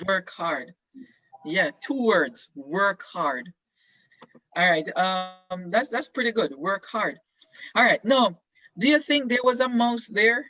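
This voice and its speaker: A male teacher leading an online class discussion, halting with many ums as he prompts students with questions. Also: sits high, pushed and bright, no room sound. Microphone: video call. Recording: dull, dry video call audio.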